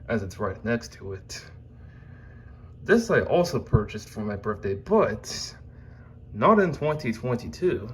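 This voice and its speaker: A man with a fairly low voice gives an informal showcase, talking very slowly. His delivery is halting and meandering, broken into short unscripted fragments. There is clear ambient noise behind him, as on a phone recording in a small room at home. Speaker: YouTuber presenting own collection.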